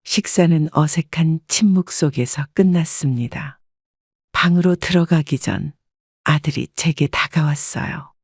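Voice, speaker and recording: A woman narrating a family story in a calm, measured way, recorded on a close studio mic. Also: deep voice, dry recording.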